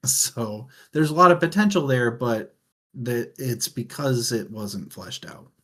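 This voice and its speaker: A man's voice: medium pitch, expressive, slow pace. A man co-hosting a book discussion, talking in a casual, conversational way. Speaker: book discussion show host.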